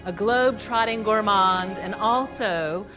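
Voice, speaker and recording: A woman playing a gracious cooking competition host who speaks slowly and formally. Loud music plays under her announcements. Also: medium pitch, lightly acted. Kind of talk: film dialogue. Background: loud background music.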